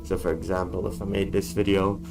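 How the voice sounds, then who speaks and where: A man with a very low voice talks straight to camera, blunt and given to casual swearing. His voice sounds worn from being sick, and music plays clearly behind him. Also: flat delivery, brisk. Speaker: YouTuber. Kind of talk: casual talking-head vlog. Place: dark room indoors.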